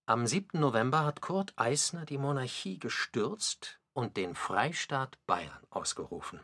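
A man's voice: mid-range voice, big pitch swings, unhurried, sharp tone. A man reading scripted documentary narration for a radio play.

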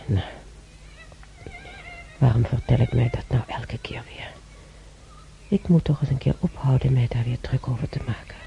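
An actor playing an elderly, weary man in a radio play, musing to himself haltingly with sighs and mutters in a gravelly voice. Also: mid-range voice, mellow. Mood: brooding. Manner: halting, muttering, drifting into whispers. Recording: dull recording with faint noise.

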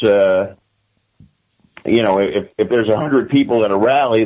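An American man with a classic radio-style voice, speaking conversationally as an interview guest and often haltingly repeating and restarting his phrases. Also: fairly low pitch, lo-fi sound.

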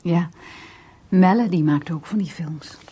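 An actress playing a nervous, curious young woman in a naturalistic radio drama, speaking briefly and quietly, with probing intimacy. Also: on the low side, measured pace, husky. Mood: intimate, curious.

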